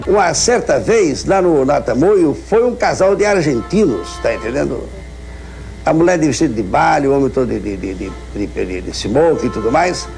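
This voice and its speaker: A man's voice: mid-range voice, very animated, normal speed, gravelly, darkish tone, faint music behind. A man casually telling an anecdote, stammering over words as he searches for them.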